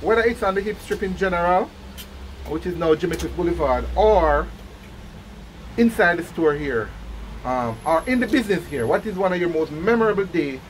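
Lively Jamaican man hosting a vlog. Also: sits high, normal speed. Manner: enthusiastic, repeats words and phrases. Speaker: vlog host and interviewer. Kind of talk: casual on-location interview. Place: small gift shop on a busy street. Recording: noisy handheld on-location recording, traffic hum.

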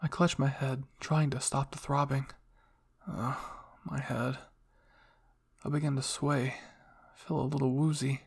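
A male narrator reads a first-person roleplay story. His voice is raspy with heavy fry, and his intonation stays fairly flat. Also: medium pitch, measured pace, long pauses. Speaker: fan fiction narrator.

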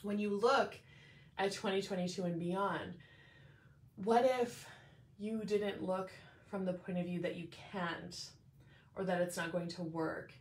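A woman's voice: fairly low pitch, unhurried, long pauses. A woman in her thirties, host of her own coffee chat, talking casually and reflectively to a phone camera at home. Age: 30s. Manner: animated, reflective, speaks with hand gestures. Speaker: life coach and show host. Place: small room indoors at home. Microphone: phone camera at arm's length. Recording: echoey room.

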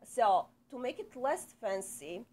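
A middle-aged Serbian woman, speaking English as a second language with her accent showing clearly. She talks at an unhurried pace, pausing often with "um" and "uh" and laughing lightly now and then. The sound is that of a small, quiet studio picked up by a nearby camera. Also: on the high side, animated. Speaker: psychotherapist guest. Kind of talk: casual interview.

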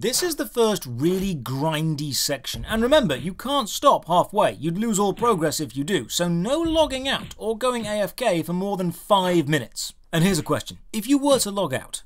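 Man, high voice, very lively intonation, wry and exasperated, scripted game review narration. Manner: wry, exasperated, rhetorical questions to the viewer.

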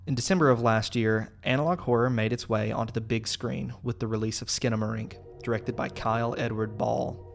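A man narrates a scripted video essay with noticeable vocal fry. His delivery is measured and explanatory, laying out each point clearly at an ordinary male pitch. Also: steady tone, soft background music.

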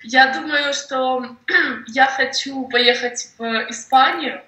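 A young woman speaking slowly and clearly on a video call, encouraging her partner and supplying missing words. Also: high-pitched, sharp tone, roomy sound, rough recording. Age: young adult. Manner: encouraging, prompts and corrects words. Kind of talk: slow, clear conversation practice. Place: home office indoors.

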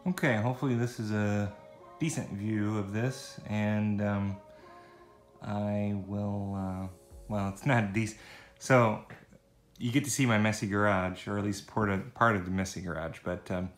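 A middle-aged man giving casual, halting, unscripted how-to narration while thinking aloud. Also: fairly low pitch, steady tone. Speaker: vlogger demonstrating a DIY setup. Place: indoors in a cluttered home garage. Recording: echoey garage, faint music.

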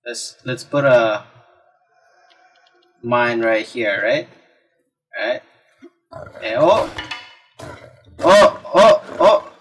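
A man's voice: mid-range voice, big pitch swings, drawn-out words, pauses often, fairly bright tone, slight background noise, rough recording. A man giving casual gameplay commentary, exclaiming and reacting as he talks to himself. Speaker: let's play gamer. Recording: game music behind the voice. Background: video game music.

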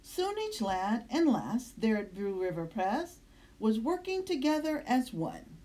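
A woman reads a children's story in rhyme. Her voice is high and animated, with an unhurried sing-song delivery that brings out each verse. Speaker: storybook reader. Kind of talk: expressive children's read-aloud of rhyming story. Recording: roomy, echoing indoor sound.

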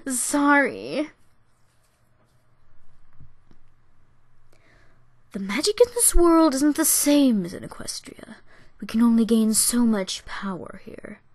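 A haughty cartoon villainess, acted by a woman: a teenage siren who schemes and mocks. Her drawl is slow and condescending, with a bright, sharp edge. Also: fairly high pitch, no echo, clean studio sound, exaggerated voice. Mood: mock-sweet, sly. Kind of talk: cartoon character voice reel.

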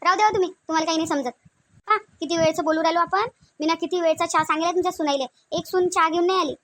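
A woman plays a middle-aged cartoon village wife with a sharp tongue and a Varhadi accent from Vidarbha. The voice is extremely high and the words come quickly. She nags and grumbles, piling up scornful rhetorical questions. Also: fairly even intonation. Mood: scolding. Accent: Varhadi Marathi of Vidarbha. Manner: complaining, scolding, rhetorical questions. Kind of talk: comic cartoon dialogue.

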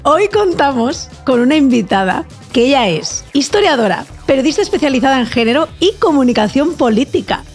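Young woman host, fairly high pitch, lively, close desk mic in a studio. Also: soft background music. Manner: lively, brisk reading of ad copy and intros. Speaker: podcast host. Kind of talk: casual podcast hosting. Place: podcast studio with plants and a backdrop.

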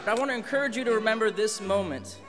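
Very high-pitched man in echoing hall. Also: rapid-fire. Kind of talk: formal inspirational speech. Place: large indoor arena. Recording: reverberant arena PA. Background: prominent string music.

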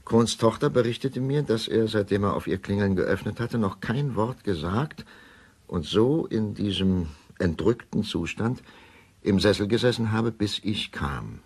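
An actor playing a troubled middle-aged male doctor, narrating a crime radio play in a grave, measured, deliberate way. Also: fairly low pitch, lively intonation, darkish tone, husky, full character voice. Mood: measured, sombre. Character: troubled male doctor recounting a suspicious death. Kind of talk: first-person radio drama narration.

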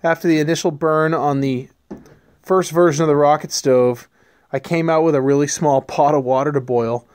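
A man in his thirties hosting a casual homesteading vlog, talking in a relaxed, rambling way with many ums and restarts, recorded on a handheld camera outdoors and in a workshop. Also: on the high side, moderate pace, no room sound, studio quality. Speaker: homesteading channel host. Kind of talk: casual hands-on vlog narration. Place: outdoors beside a pickup truck in snow, later a workshop.

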